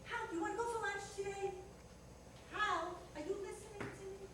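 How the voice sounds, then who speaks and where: A woman's voice: very high-pitched, fairly even intonation, moderate pace. A woman playing a talkative, teasing wife in a community reader's theater comedy. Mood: teasing, nagging. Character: chatty, needling older wife at breakfast. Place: reader's theater performance in echoey venue. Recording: very echoey, distant, noisy hall recording.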